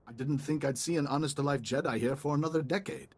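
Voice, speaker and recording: A man's voice: a little high, fast, husky. A man playing an eager, polite ambassador in a video game, chatty and apologetic in manner. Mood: courteous.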